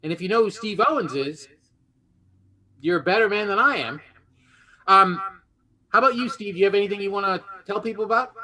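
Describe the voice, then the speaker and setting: A man's voice: sits high, animated, a little fast, long pauses, bright, forceful tone, low-quality recording. A man hosting a game show in an unscripted, joking style.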